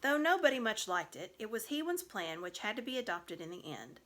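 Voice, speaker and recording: A woman giving an expressive scripted narration of a children's story. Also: mid-range voice, brisk. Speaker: storybook reader.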